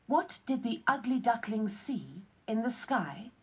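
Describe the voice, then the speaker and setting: Slow-paced woman narrating for children. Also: a little high, very animated, darkish tone, breathy edge. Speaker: narrator of a children's read-along recording.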